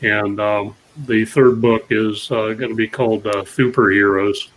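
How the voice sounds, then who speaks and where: A man talking as an author guest in a halting way, full of fillers. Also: fairly low pitch, steady tone, poor audio. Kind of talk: casual panel chat.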